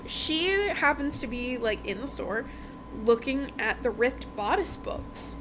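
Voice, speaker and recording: High-voiced woman chatting over background noise. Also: animated, takes it slowly. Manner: chatty, conversational, acts out dialogue in passing. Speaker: podcast host reviewing books. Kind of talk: casual chat retelling a novel's plot. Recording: clearly noisy background.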